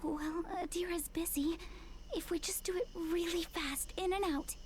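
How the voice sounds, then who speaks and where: An actress voicing an anxious, secretive young woman who pleads in a hushed way, in a visual novel. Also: extremely high pitch, steady tone, normal speed, slight background noise. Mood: pleading.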